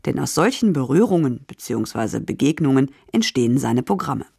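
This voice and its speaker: A documentary narrator reading a scripted portrait in a measured, informative tone. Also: mid-range voice, very animated, vocal fry, darkish tone, husky.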